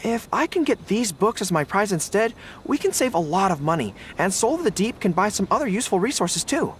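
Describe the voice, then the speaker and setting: Flustered, earnest young soldier, expressive. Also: male voice, high-pitched, brisk, a little hiss or hum. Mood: nervous, earnest. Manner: hesitant, stammering, polite. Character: earnest young dog-eared soldier, male, flustered but dutiful. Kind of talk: video game voice acting. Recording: game music behind.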